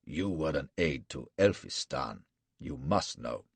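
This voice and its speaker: A man voicing a cruel, mocking male warlord in an audiobook, speaking with slow, measured menace in a gravelly, husky voice. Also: very deep voice, animated, no echo, full character voice. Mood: menacing. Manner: slow, gloating, measured threats. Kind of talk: audiobook character reading.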